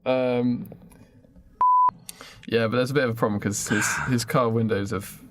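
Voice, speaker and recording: A man with an average-pitched voice chats as a podcast co-host. He improvises a story in halting, informal phrases. There is faint noise on the recording. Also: steady tone. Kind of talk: improvised storytelling, casual chat.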